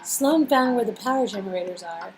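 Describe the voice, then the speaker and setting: A woman's voice: medium pitch, animated, brisk, faint music behind, low-quality recording. A woman performing interactive game dialogue in a casual, acted read-through style. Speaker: performer reading game script.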